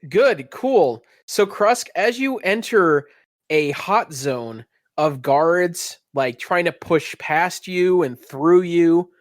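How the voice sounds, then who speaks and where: A man's voice: on the high side, animated, slow pace, no echo, clean studio sound. A man running a tabletop role-playing game, narrating in a theatrical, descriptive storytelling manner. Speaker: game master.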